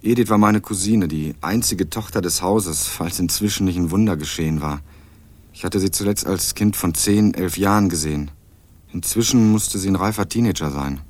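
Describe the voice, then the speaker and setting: A man's voice: low voice, moderate pace. A young man narrating a radio crime drama in the first person with dry, wry irony. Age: young adult.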